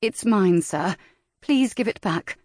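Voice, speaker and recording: A woman's voice: medium pitch, animated, slow, a little mellow, no room sound, studio quality, pitched above the performer's own voice. A woman voicing a proper, indignant young Regency lady who speaks primly. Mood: flustered. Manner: prim and indignant.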